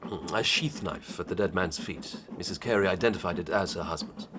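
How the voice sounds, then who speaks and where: Man voicing an eager male inspector, earnest, reporting, lowish, faint noise. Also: a little fast, close to an everyday voice. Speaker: police inspector. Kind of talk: radio drama acting.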